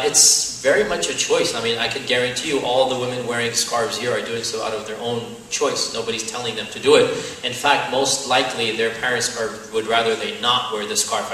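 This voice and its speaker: A man's voice: medium pitch, sharp tone, far from the mic, slight background noise. An American man answering audience questions in a lecture-style Q&A, talking conversationally with frequent fillers and tag questions. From United States. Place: large echoey hall. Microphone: distant from the mic. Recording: echoey hall, speaker off-mic.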